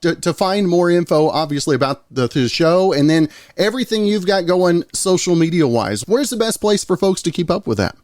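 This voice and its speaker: A man's voice: sits high, lively intonation, moderate pace, hardly any pauses, darkish tone, dry recording, clean studio sound. A man hosting a radio talk show, asking questions in a friendly, folksy way and often restarting his sentences. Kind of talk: casual radio interview.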